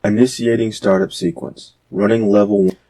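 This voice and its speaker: Man acting as sci-fi robot computer, low pitch, dark tone, flat formal delivery, layered robotic effect. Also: normal speed, poor audio. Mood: flat, mechanical. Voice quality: deep, processed. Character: robot computer announcing system startup, flat and mechanical. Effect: pitch-shifted, layered robot voice effect.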